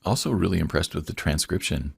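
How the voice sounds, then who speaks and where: Deep gravelly dude hosting a podcast, friendly and eager, dry close sound. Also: male voice, animated, fast, no echo. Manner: friendly, enthusiastic, laughs often. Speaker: podcast host. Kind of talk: casual interview.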